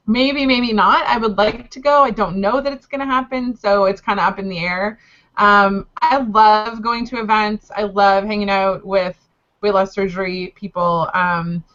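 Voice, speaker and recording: A woman's voice: medium pitch, fairly bright tone. A woman from Canada chatting casually as a panelist on an online show, playful and sarcastic, on slightly dull lo-fi audio. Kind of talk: casual group chat.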